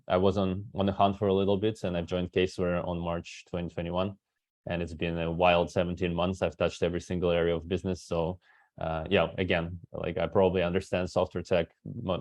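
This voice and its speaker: A man's voice: sits low, level tone, a little fast, a little mellow, no echo. A man from Kazakhstan, a native Russian speaker in his thirties, talking at length as a webinar guest and often tagging "right?". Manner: talkative, tags many sentences with "right?". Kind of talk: webinar talk.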